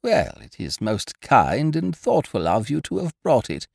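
A haughty society hostess from a comic storybook, played by a male narrator. She is formal, plaintive and fluttering, a fretful hypochondriac with a raised, swooping pitch. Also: wildly swooping pitch, unhurried, gravelly, husky, no room sound, clean studio sound, heavily acted. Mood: wavering. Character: haughty upper-class lady hostess, fretful, tremulous hypochondriac. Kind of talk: humorous audiobook character dialogue.

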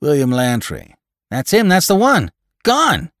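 A man's voice: mid-range voice, sing-song, normal speed, darkish tone, no room sound, studio quality, voice pushed up, close to an everyday voice. A man voicing an agitated, bewildered gravedigger in a story, urgent and exclaiming. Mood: alarmed, agitated.

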